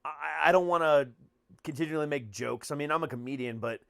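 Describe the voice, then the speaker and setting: A man's voice: on the high side, brisk, fairly bright tone, clear, resonant tone, dry recording, studio quality. An American man hosting a podcast in casual chat, speaking bluntly and swearing freely. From United States. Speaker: podcast host and comedian.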